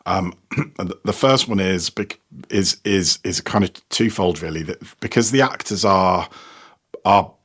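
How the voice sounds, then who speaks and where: A man's voice: low-pitched, measured pace, a bit bright, slightly rough. A man explaining his sound production work in a casual interview, hesitant and repeating words as he thinks. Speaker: sound designer and technical producer, podcast guest.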